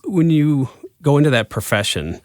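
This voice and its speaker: A man's voice: on the high side, big pitch swings, normal speed, no echo. Chatty male podcast host, dry close sound. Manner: rambling, searching questions with fillers and restarts. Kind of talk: interview questions.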